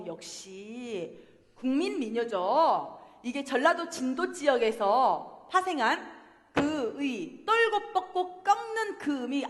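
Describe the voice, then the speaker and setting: A woman's voice: sits high, fairly bright tone. A woman in her thirties with a Jeolla accent, a pansori singer leading a playful lesson in a university hall, laughing often and coaching the audience. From Jeolla, Korea. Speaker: pansori singer teaching a class. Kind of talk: lively workshop lesson with sung demonstrations. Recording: long hall reverb from a concert hall.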